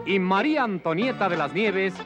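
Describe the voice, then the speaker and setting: A man's voice: high voice, expressive, normal speed. A man announcing television opening credits with emphatic, showy introductions. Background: loud theme music.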